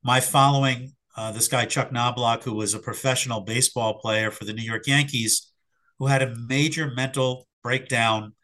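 Middle-aged male guest, slightly hoarse, bright sound, thoughtful and halting delivery. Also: mid-range voice, low-quality recording. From Philadelphia area. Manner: reflective, hesitant with fillers and restarts. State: hoarse after covid. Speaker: sports psychologist guest. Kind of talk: casual interview answers.

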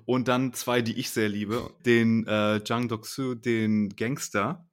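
A man's voice: mid-range voice, fairly even intonation, slow pace. A man co-hosting a podcast, chatting casually and easily about a series, laughing now and then. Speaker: podcast co-host. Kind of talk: casual chat reviewing a series.

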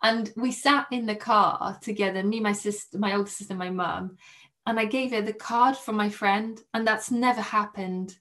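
A young Polish woman, a podcast guest, telling a personal story in a soft, hesitant way with many restarts. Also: medium pitch, steady tone, moderate pace. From Poland.

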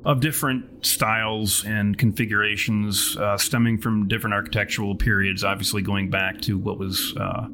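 A man's voice: fairly low pitch, level tone, normal speed, few pauses, bright, forceful tone. A man narrating a tabletop game as game master in a florid, theatrical way. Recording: noticeable background noise.

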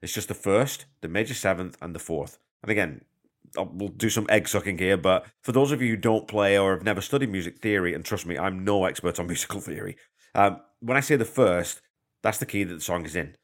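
Fast-talking low-voiced man, congested. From Canada. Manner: chatty, self-deprecating, explains patiently. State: congested from a cold. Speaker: podcast host. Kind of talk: casual podcast monologue. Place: hotel room. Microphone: portable mic.

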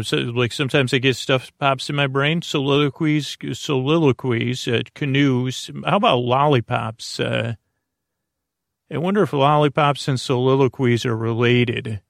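A man hosting a sleep podcast in a meandering, halting, gently silly monologue that keeps trailing off and circling back. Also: medium pitch, creaky, no echo, studio quality. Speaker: podcast host.

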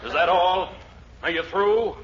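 A man's voice: high voice, measured pace. A male actor playing a pompous, blustering, hearty middle-aged senator in theatrical 1940s radio comedy style. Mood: indignant. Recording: muffled, faintly noisy 1940s radio recording.

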